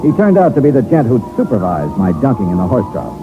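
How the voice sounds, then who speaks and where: An actor in a 1950s radio detective drama playing a wry, unflappable investigator, with a dry, casual delivery. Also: male voice, mid-range voice, huge pitch swings, fast. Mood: wry narrating. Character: wry, unflappable male insurance investigator narrating his case. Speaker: lead and narrator. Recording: tinny band-limited old radio recording, music behind.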